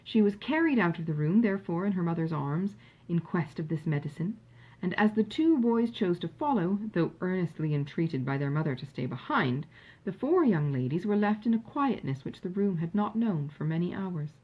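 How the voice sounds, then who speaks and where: Expressive, husky woman narrator. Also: lowish voice, dull audio. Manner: expressive, measured storytelling. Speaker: audiobook narrator. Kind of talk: scripted literary narration of a classic novel.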